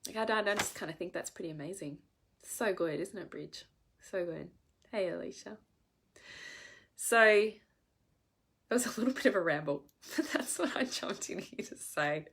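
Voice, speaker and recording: Woman, 30s, stuffed-up head cold, earnest, emphatic, swears for emphasis, live stream, slightly low voice. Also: expressive, moderate pace, gravelly, pauses often, fairly bright tone, husky. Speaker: women's coach.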